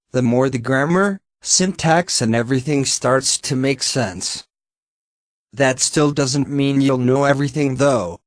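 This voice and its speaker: A man's voice: mid-range voice, no echo, clean studio sound. A man, a native Finnish speaker, giving blunt, sarcastic reaction commentary on a video and swearing now and then.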